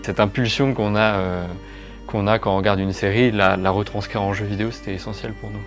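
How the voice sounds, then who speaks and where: Over a music bed, a man with a fairly low voice explains things in an interview. He is a game developer, phrasing his points thoughtfully and with some hesitation. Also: steady tone, a little fast.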